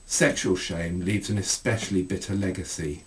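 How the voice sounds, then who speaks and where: A middle-aged man reading aloud from his own book as an author, in measured, deliberate phrases. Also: low-pitched, fairly even intonation, far from the mic, a little hiss or hum. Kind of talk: scripted literary reading.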